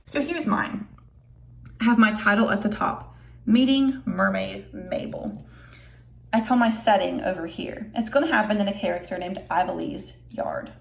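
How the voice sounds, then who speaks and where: A woman's voice: medium pitch, moderate pace, vocal fry, slightly rough. A woman with an American accent, a schoolteacher explaining a video lesson expressively to children and posing questions to the viewer.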